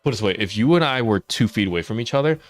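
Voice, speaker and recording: A man telling stories on a live stream while he plays a game, chatting with his viewers and laughing often. His loud voice is held down for the mic. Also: lowish voice, lively intonation, rapid-fire, faint music behind. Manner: chatty, laughs often, reads and answers chat. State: mouth watering after dental work. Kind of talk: casual live-stream storytelling while gaming.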